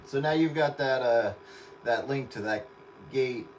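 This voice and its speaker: A man's voice: mid-range voice, measured pace. A man with a mid-range voice talks casually as a radio hobbyist hosting a stream. He rambles along in an easygoing way, with faint static and noise behind him. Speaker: radio operator hosting a livestream.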